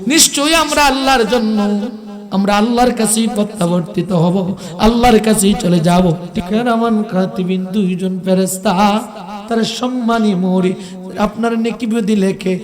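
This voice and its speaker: A man delivering an impassioned Islamic sermon, telling it dramatically and emphatically, with melodic, chant-like phrasing. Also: high-pitched. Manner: emphatic, slow, breaks into melodic chant and drawn-out cries. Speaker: Islamic preacher.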